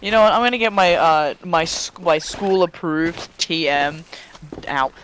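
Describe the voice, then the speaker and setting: Higher-voiced man over clear background noise. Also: a little slow, a bit bright, low-quality recording. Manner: sweary, breaks off into asides. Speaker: reader of a fan fiction story. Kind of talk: dramatic reading broken by casual banter with friends. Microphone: voice chat microphone.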